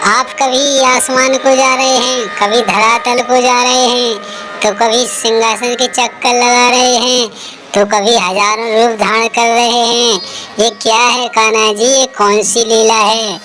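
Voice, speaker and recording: A woman's voice: fairly high pitch, flat delivery, unhurried, music in the background, natural voice. A woman voicing a curious young goddess in a cartoon, with a plaintive, questioning manner. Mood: puzzled. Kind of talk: cartoon story dialogue.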